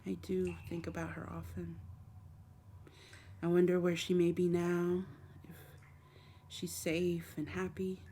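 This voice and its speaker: A mellow, low-pitched female dark fae played by a woman speaks softly and close, in a fantasy ASMR roleplay. She is gentle and lonely, with tender, hesitant, apologetic phrasing. There is faint noise behind her. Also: monotone, slow pace. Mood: wistful, sorrowful.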